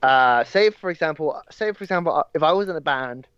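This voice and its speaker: A man's voice: a little high, expressive, a little fast, a bit bright, clear, resonant tone, dry recording. A twenty-year-old man from England, a podcast guest who chats casually and rambles with frequent restarts. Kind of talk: casual chat. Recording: dry, slightly dull audio.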